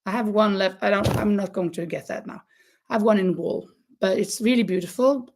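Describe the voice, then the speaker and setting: A woman's voice: mid-range voice, fairly quick. A woman with a non-native English accent, hosting a casual crochet live stream and chatting with viewers. She talks loosely, laughs often and stops to search for words.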